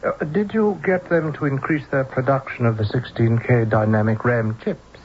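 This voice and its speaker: A man's voice: mid-range voice, steady tone, moderate pace, lightly acted. An actor playing a polite, dutiful secretary to a businessman in an old-time radio drama. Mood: inquiring. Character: deferential male secretary to a businessman. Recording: muffled, noisy old radio broadcast.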